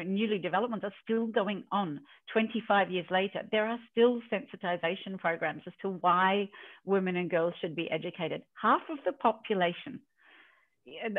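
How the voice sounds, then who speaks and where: Chatty woman on a tinny video call. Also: medium pitch. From New Zealand. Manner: storytelling, chatty, quotes herself. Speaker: leadership coach. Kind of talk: casual interview over video call. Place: home office in a country house.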